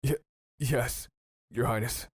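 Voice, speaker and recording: A man playing a cowed, submissive royal guard captain in an audio drama, halting and deferential. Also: mid-range voice, fairly even intonation, husky, no echo, studio quality. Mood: cowed, shaken.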